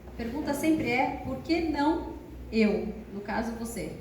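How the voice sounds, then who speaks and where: A Brazilian woman interprets a speaker phrase by phrase, quick and brisk, her voice sitting a little high. She is heard from a distance in a large hall full of echo, with faint noise behind. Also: expressive, a little mellow. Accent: Brazilian Portuguese. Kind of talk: live consecutive interpreting on stage.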